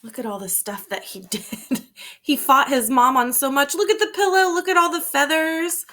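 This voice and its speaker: A woman with a high, bright voice reads a picture book aloud like a schoolteacher. She is expressive and emphatic, stopping to explain things to children. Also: normal speed. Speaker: teacher reading aloud to children. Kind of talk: animated children's storybook read-aloud.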